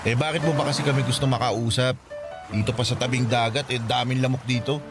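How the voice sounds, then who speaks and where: An actor playing a grumbling, impatient young friend in a radio drama, blunt and complaining. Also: male voice, mid-range voice, fairly even intonation, fast, music in the background, natural voice.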